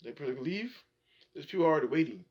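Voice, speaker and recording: Young man, slightly high voice, rambling casual chat, lots of ums. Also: lively intonation, a little mellow, breathy edge, highs cut off. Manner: rambling storyteller, drawn-out fillers.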